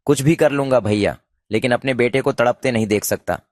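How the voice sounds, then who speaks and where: A man's voice: mid-range voice, brisk, a little mellow, dry recording, studio quality, lightly acted. A man voicing a headstrong younger brother. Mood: warm. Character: younger brother, stubborn then loving uncle. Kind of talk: cartoon voice acting.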